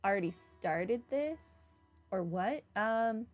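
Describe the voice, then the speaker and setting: Woman gamer just chatting thru her playthrough, slow easy pace, bit of game music in back. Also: mid-range voice, expressive. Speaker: gaming video host. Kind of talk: casual game playthrough commentary. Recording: soft music behind.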